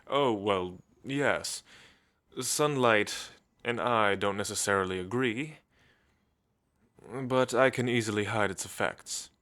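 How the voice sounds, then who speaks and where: Casting: male actor as languid, world-weary immortal vampire for ASMR roleplay; lowish pitch, very unhurried, teasing elegance. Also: fairly bright tone. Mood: wry, languid. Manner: slow, theatrical, suave, with musing pauses.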